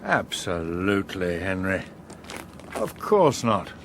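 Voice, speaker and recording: An actor playing a suave, unflappable veteran politician in a radio drama, with an upper-class English accent and a dry, measured, ironic manner. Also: male voice, sits low, very animated, gravelly, noisy background, heavily acted. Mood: cool, knowing.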